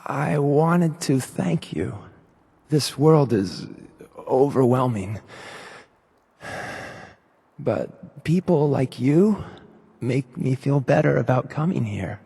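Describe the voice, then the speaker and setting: A man playing a timid, earnest escaped synthetic human in a video game, hesitant and soft-spoken, with a breathy, creaky voice. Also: mid-range voice, animated, slow, pauses often, long reverb tail, exaggerated voice. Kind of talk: video game character dialogue.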